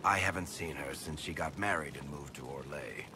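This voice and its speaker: An actor playing a wry, guilt-ridden dwarf storyteller in a video game, blunt and sardonic but hesitant when pressed. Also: male voice, rumbling low, moderate pace, background noise. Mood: wistful, sarcastic.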